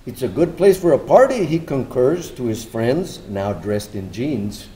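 An older man giving a measured, bilingual dramatic poetry reading at a microphone. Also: mid-range voice, lively intonation, warm tone. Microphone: stand microphone at lectern.

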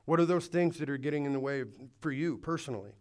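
A man's voice: on the high side, fast talker, a little mellow. A man preaching a sermon to a congregation in a church, speaking earnestly and urging his listeners, with some restarts. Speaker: pastor. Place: church hall before a congregation.

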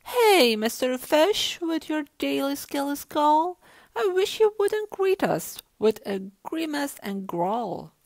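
A woman voicing a male jellyfish in a children's storybook, chiding and pleading loudly. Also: high-pitched, big pitch swings, slow pace, no room sound, voice pushed up, heavily acted. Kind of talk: children's storybook read-aloud.

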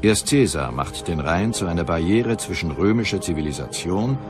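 Very deep male documentary narrator. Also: lively intonation. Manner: measured, serious, dramatic present-tense telling. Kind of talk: scripted historical documentary narration. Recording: music behind the voice. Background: music bed.

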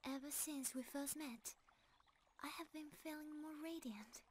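A woman voicing a gentle, loving, timeless deer-centaur forest creature in a romantic ASMR roleplay, with a soft, breathy, often whispering voice and a calm, tender manner. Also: sits high, a little hiss or hum. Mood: loving, soothing. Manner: calm, delicate, tender, unhurried.

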